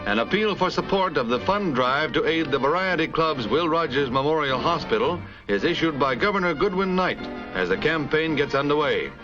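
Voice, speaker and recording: Male American newsreel narrator, 1950s. Animated, declamatory announcer read, muffled film soundtrack with music underneath. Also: a little high, normal speed. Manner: dramatic, declamatory announcer delivery.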